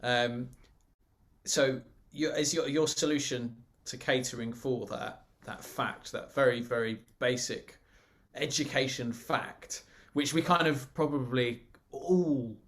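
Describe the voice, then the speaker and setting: A man's voice: medium pitch, takes it slowly, fairly bright tone. A man hosting a music education podcast, asking searching questions with hesitant fillers and restarts. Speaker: podcast host. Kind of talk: interview questions.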